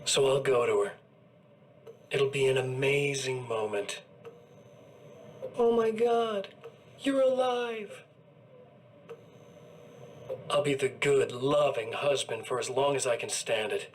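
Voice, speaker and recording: An actor playing a smug, menacing evil doppelganger who gloats in a cool, intimate, taunting way. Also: male voice, mid-range voice, slow, pauses often, a little hiss or hum, lightly acted. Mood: gloating. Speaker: villain. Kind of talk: video game cutscene acting.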